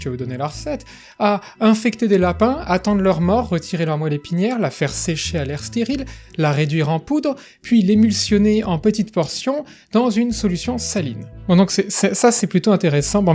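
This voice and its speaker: A man's voice: high-pitched, mellow. Chatty man explaining history. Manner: chatty, with asides and fillers. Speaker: educational video host. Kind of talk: casual explanatory narration.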